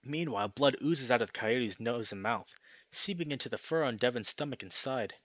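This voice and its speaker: A man's voice: mid-range voice, expressive, a little fast, a bit bright, no echo, dull audio. A young man reading a visual novel aloud as a dramatic story narration for a let's play. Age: young adult.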